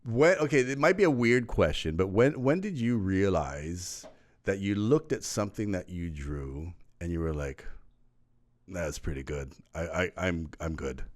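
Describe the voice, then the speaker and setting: A man's voice: sits low, lively intonation. A man hosting an interview show, chatting casually and reacting eagerly to his guest. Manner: eager, reacting with quick "wow" and "really". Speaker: show host.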